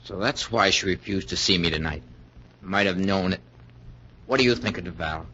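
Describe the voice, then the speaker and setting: A man's voice: sits low, moderate pace, sharp tone, a little hiss or hum. An actor playing an earnest, suspicious suitor in a 1940s radio thriller, urgent and insistent in his delivery. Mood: jealous. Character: suspicious, jealous, earnest male suitor warning of danger. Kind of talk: 1940s radio suspense acting. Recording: muffled 1940s radio recording.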